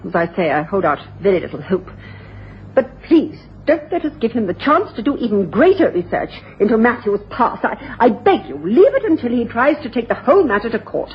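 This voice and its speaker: A woman's voice: on the high side, very animated, fairly quick. A stubborn, embittered middle-aged sister in a radio play. Her delivery is emphatic and rises and falls a lot. It is a muffled broadcast with a faint hiss. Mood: pleading. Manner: emphatic, sighing, indignant. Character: bitter, stubborn middle-aged sister guarding family fortune. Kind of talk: 1980s radio drama acting. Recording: dull old radio broadcast, faint noise.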